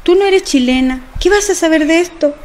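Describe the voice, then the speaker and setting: An educated woman with a very high voice. She is a caring friend in a radio soap opera who speaks earnestly, as if steering someone away from trouble. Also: fairly quick, darkish tone, a little hiss or hum. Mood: apologetic. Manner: earnest, cautioning.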